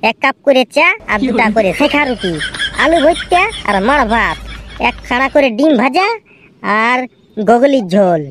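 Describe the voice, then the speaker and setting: A man voicing a cheeky, quarrelsome village lad who brags and mocks in a comic cartoon, with a pitched-up voice and a Purulia Bengali accent. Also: animated, moderate pace, background noise. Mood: bragging, scheming. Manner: bragging, mocking.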